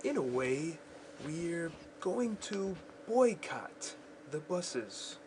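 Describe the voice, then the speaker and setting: A patient, serious father played by a man in a homemade school radio play. He explains things slowly and deliberately in a slightly high voice, with faint noise behind him. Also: big pitch swings, full character voice. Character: calm, patient father in a 1950s family. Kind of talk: school radio drama, scripted reading. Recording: faint background noise, home recording.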